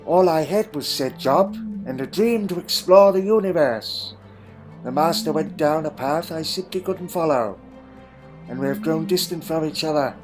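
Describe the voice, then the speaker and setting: Male actor as a troubled, proud Time Lord for audio drama; slightly high voice, old-fashioned wording, melancholy and guarded, over faint music. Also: normal speed, natural voice, poor audio. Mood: wistful, haunted.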